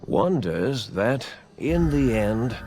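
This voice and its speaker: A man narrating a video game cinematic as a grave storyteller, speaking solemnly and deliberately with weighty pauses. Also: medium pitch, big pitch swings, mellow. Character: grave storyteller recounting a post-war science legend. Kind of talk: scripted narration, measured storytelling. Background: music behind the voice.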